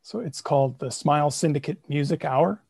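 A man guesting on a podcast, telling the story of his projects in a lively, self-mocking way. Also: a little high, a little fast, vocal fry, husky, no echo, studio quality. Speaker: guest, comedy podcaster and songwriter. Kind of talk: casual interview.

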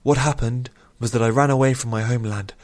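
A man's voice: mid-range voice, fairly even intonation, fast talker, a little mellow, voice pushed up, close to an everyday voice. A man voicing a young runaway princess in a storybook, agitated, pleading and guilty. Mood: tearful confession.

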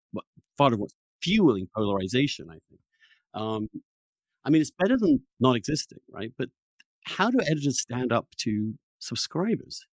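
Animated male podcast host. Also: mid-range voice, no echo, low-quality recording. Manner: opinionated, emphatic, with halting restarts. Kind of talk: conversational interview.

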